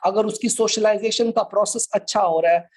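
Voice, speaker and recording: A man's voice: high-pitched, steady tone, brisk, darkish tone, breathy edge. A man with a Pakistani accent lecturing informally to a class, explaining step by step and repeating his points. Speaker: law lecturer.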